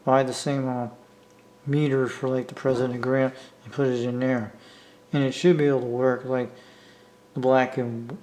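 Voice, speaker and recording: A man with an American accent talking casually off the cuff as a hobbyist video maker, rambling and halting as he repeats himself. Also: medium pitch, a little mellow. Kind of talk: casual unscripted vlog talk. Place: indoor room, talking from behind the camera.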